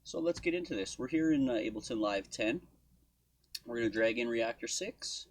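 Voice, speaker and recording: A man giving an informal software walkthrough as a tutorial host, thinking aloud as he goes. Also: medium pitch, expressive, normal speed. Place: indoor desk at home studio.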